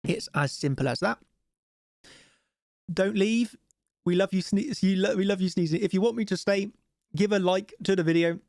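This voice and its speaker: A man's voice: sits high, normal speed, long pauses, darkish tone, dry recording, clean studio sound. A man with a cold and a stuffy nose, chatting casually while hosting a live trading stream and asking viewers for likes. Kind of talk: live-stream chart commentary.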